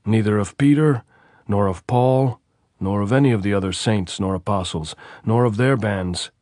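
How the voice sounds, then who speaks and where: Guy as a suspicious post commander, slow and measured, just a bit above the reader's low voice, dry. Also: a little mellow, dry recording, natural voice. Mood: mocking.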